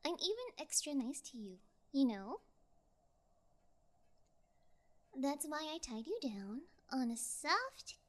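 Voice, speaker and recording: A woman voicing a bored, playfully sociopathic young female kidnapper in an ASMR role play, with a lazy, teasing, falsely sweet manner. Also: high voice.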